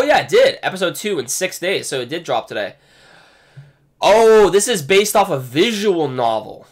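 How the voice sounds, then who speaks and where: A man chatting casually on a live stream, reading text aloud with lots of fillers and restarts, his voice going hoarse. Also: medium pitch. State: losing his voice. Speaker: anime streamer.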